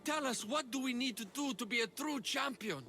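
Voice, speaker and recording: A man voicing a starstruck, eager young athlete in a video game, excited and admiring. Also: extremely high pitch, moderate pace, soft background music, exaggerated voice. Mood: awed.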